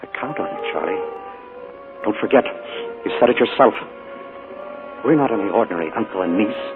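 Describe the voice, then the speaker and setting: A man playing a desperate middle-aged uncle who pleads with his niece in low, urgent tones, in a 1940s radio drama. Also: lively intonation, fairly quick, music in the background, lightly acted. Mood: pleading. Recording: tinny 1940s radio broadcast.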